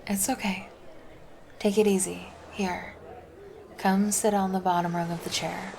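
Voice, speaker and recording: Slow-talking, creaky, caring lifeguard woman, acted out by a woman. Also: medium pitch, flat delivery. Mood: soothing, reassuring. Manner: soft, intimate, casual, reassuring. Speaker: roleplay voice performer. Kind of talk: roleplay ASMR acting. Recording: background noise under the voice.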